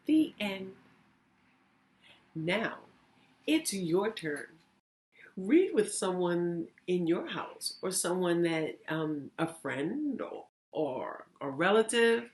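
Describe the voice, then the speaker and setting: A woman narrating a children's story as a reading teacher, deliberate and theatrical in her phrasing. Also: lowish voice, very animated. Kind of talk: expressive children's read-aloud narration.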